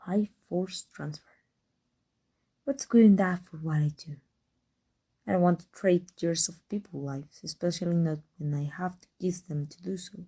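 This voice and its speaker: A woman with a Mexican accent playing a proud, secretly devoted villainess in an intimate ASMR roleplay, halting and emotional. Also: lowish voice, dark tone, husky. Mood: hushed, pained confession. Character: proud, flustered, secretly devoted villainess with life-transfer power.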